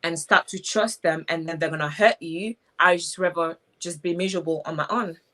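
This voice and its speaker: A woman's voice: on the low side, steady tone, brisk, fairly bright tone. A young woman from Haiti with a strong Haitian accent, a guest speaking reflectively in a casual interview over a video call. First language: Haitian Creole.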